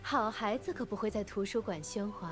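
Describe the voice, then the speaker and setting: A woman's voice: mid-range voice, brisk, music in the background, natural voice. A woman playing a teasing, flirty librarian and mentor in a playful, coaxing anime game style. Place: studio game dialogue with music behind.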